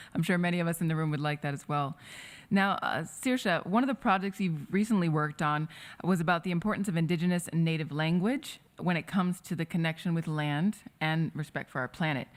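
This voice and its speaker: Give me a deep-voiced woman moderating a panel in a friendly way. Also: fairly quick, few pauses. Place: conference room at a summit.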